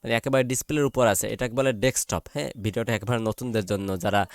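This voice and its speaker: Bangladeshi man giving a dry-sounding software tutorial. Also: fairly low pitch, steady tone, fast, no room sound. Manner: repetitive, often checks in with listeners. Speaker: tutorial presenter. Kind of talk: informal step-by-step software tutorial.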